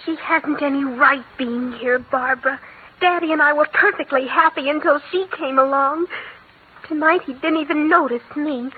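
Actress as spoiled scheming girl, very high thin voice, tinny old radio sound. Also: normal speed, slight background noise, natural voice. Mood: brooding. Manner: pleading then sullen, spoiled. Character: scheming jealous young girl. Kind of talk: 1940s radio acting.

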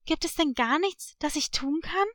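Storybook role: stubborn young animal girl, voiced by a woman, high voice, blunt, determined. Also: expressive, normal speed, a bit bright, no room sound, studio quality. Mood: urgent, questioning. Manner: brusque, determined.

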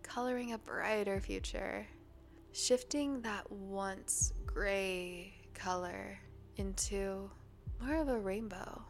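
A slightly congested woman podcast host speaking a guided meditation in a gentle, soothing, breathy voice that often drops toward a whisper. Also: medium pitch. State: a little congested. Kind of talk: guided meditation, then casual podcast talk.

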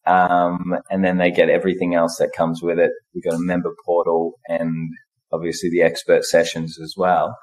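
A man with a low voice chats casually as a podcast guest. He talks in a relaxed, unhurried way and often pauses on fillers as he gathers his thoughts. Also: low-quality recording. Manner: relaxed, hesitant, lots of fillers. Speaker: podcast guest, book club founder. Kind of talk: casual conversation.

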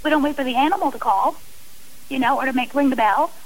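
A woman's voice: high voice, quick pace, low-quality recording. A woman speaking earnestly and emphatically as a guest on a radio interview.

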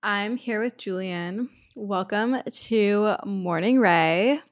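A woman from Canada hosting a podcast in casual chat, hoarse from a head cold, chatty and full of "like". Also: mid-range voice, takes it slowly. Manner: chatty, uses "like" often. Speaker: podcast host.

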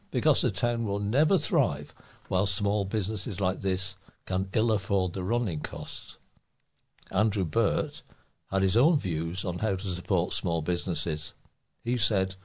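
A man with a husky voice, reading local newspaper articles aloud as a volunteer reader. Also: lowish voice, darkish tone.

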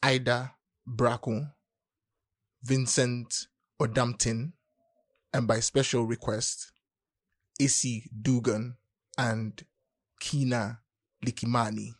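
A man reading poetry aloud deliberately, pausing phrase by phrase. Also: mid-range voice, little pitch movement, no room sound, clean studio sound. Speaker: poetry reader.

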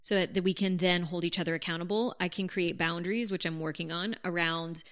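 A woman with a slightly low voice talks through routines and plans on a couple's podcast. She is earnest and practical, with a teasing edge and the occasional swear word. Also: fairly even intonation, a little fast, fairly bright tone. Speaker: podcast co-host. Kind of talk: casual couple's podcast chat.

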